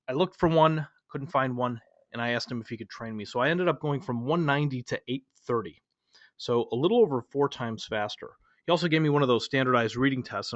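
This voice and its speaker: A man's voice: mid-range voice, fairly bright tone, no echo, lo-fi sound. A man in his thirties from Chicago, whose first language is Polish, teaching a speed reading lesson in a loose, conversational way with fillers and restarts. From Chicago, USA. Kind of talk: lecture to a class.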